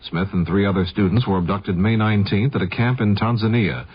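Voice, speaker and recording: Low, husky American newsman on old radio. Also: a little fast. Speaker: radio news anchor. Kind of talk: scripted news reading. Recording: dull vintage radio broadcast.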